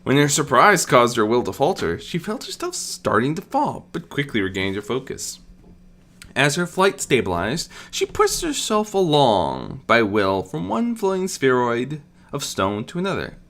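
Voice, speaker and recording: A storyteller narrates scripted fantasy prose. The delivery is expressive, with pitch that rises and dips a great deal and pauses placed for drama. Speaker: audiobook narrator.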